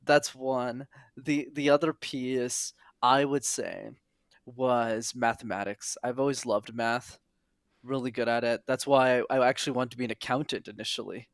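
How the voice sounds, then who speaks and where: A young man from Montreal, a podcast guest, telling his story in an earnest, animated way with quick asides. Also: medium pitch, dry recording, clean studio sound. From Montreal, Canada. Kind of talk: casual interview.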